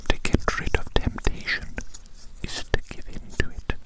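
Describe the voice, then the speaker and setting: A hungry alien with impeccable manners, played by an ASMR performer, speaks entirely in a breathy whisper. The delivery is coaxing and mock-civilized, with phrases softly repeated as in an intimate roleplay for sleep. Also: moderate pace, natural voice. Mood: coaxing, eager. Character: polite, greedy alien eager to eat a human.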